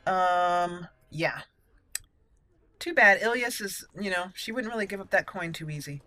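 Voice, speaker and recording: A woman's voice: fairly low pitch, measured pace, pushed and bright. A woman hosting a gaming channel, giving casual, playful commentary on a roleplay game in a chatty way.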